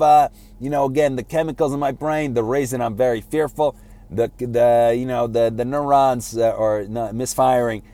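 A man giving an informal motivational lecture in a halting, conversational way, often repeating words and posing rhetorical questions. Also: mid-range voice, warm tone. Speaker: speaker giving a self-improvement talk.

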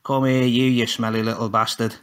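A man playing a feared, gruff old grandfather who talks bluntly and barks his lines. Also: mid-range voice, flat delivery, brisk, natural voice. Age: older. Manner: blunt, barking, scolding.

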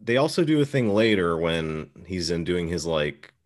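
A man's voice: sits low, sing-song, normal speed. A man hosting a podcast, talking through films in a casual, conversational way. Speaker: podcast host. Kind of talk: casual film discussion.

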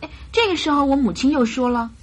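A woman's voice: sits high, fast, faint music behind, close to an everyday voice. An actress playing an earnest, naive young bride-to-be in a radio drama, recounting her story in a hesitant, chatty way.

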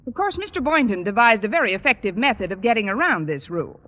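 A woman playing a dry-witted schoolteacher who narrates and trades deadpan wisecracks in a 1950s radio sitcom. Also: mid-range voice, fast. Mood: wry. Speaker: English teacher and narrator. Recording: dull old radio broadcast recording.

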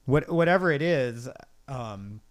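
Clear, dry-sounding middle-aged man chatting. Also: medium pitch, lively intonation, a little slow, no echo. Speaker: podcast host. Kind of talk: casual podcast chat.

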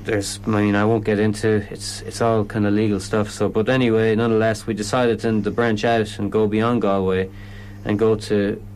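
A man's voice: lowish voice, little pitch movement, normal speed, few pauses, a little mellow, a little hiss or hum, low-quality recording. An Irish man explaining his comedy event in a radio interview, often stopping and restarting sentences. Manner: hesitant, lots of restarts.

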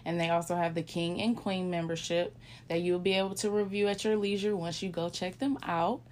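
A woman of thirty co-hosting a casual chat, relaxed and talkative, also reading a business shout-out. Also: lowish voice, brisk, a little hiss or hum. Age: 30s. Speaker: podcast co-host.

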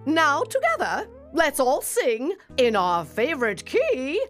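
Delighted storybook queen bee hostess, played by a woman, high, wildly lilting, theatrical. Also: huge pitch swings, takes it slowly, fairly bright tone, faint music behind, heavily acted. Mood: delighted, welcoming. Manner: theatrical, sing-song welcoming delivery. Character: gracious, delighted queen bee hosting a party. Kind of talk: children's storybook audio performance.

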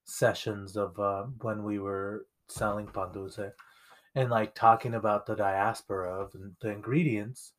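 A young man co-hosting a casual talk, with a fairly low voice. He speaks reflectively and loosely, pausing on "like" and "um" as he works through his thoughts. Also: flat delivery. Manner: reflective, rambling with fillers. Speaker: podcast co-host. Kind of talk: casual conversation.

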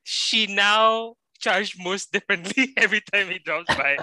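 Bright, high, giggly man telling a story. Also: a little slow, no echo. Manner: giggly storyteller, laughs often. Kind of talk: casual chat.

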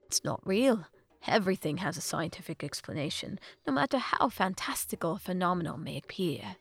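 A woman's voice: on the low side, big pitch swings, fairly quick, vocal fry, breathy edge, soft background music, heavily acted. A woman voicing an old male professor, eager, inquisitive and theatrical, in a storybook narration. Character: excitable, curious old male professor and researcher.

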